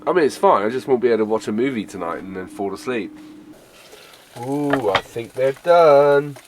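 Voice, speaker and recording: A hoarse man with a raspy voice from a cold, chatting casually to camera in a relaxed, rambling way from his camper van. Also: medium pitch, moderate pace, slight background noise. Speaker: van life vlogger. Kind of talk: casual vlog chat to camera. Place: inside a camper van at a campsite.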